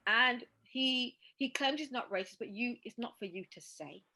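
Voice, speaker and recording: A woman's voice: a little high, normal speed, bright, forceful tone. A woman hosting a casual discussion podcast, talking emphatically and often repeating words as she thinks. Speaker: podcast host.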